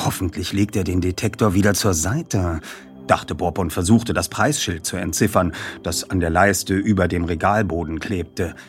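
A man presenting to an audience and reading book passages aloud as a narrator. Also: lowish voice. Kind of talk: casual hosting and audiobook narration.

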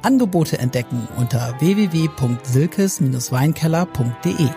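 A man reading a promotional ad in a polished, smooth delivery. His voice sits slightly high, and clear music plays under him. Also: lively intonation, normal speed. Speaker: ad announcer. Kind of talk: scripted ad read.

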